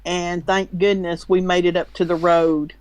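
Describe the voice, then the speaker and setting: A woman telling a story in a casual voice chat, haltingly, often restarting her sentences. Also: lowish voice, little pitch movement, a little mellow, no room sound. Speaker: caller. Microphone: computer mic in a voice chat.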